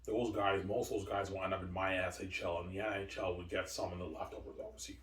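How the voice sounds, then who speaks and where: A man's voice: low-pitched, flat delivery, fast talker, a bit bright, a little hiss or hum. A man in his forties rambling in a long monologue, repeating himself, stumbling over words and answering his own questions. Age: 40s. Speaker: video host. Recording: echoey room, far from the mic.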